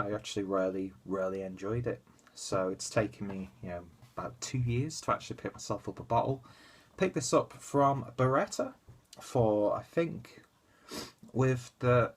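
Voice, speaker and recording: A young man with a stuffy, congested nose and a nasal voice, chatting casually through a beer review at home on a webcam. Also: mid-range voice. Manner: rambling, chatty, lots of fillers and asides. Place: small room at home, indoors.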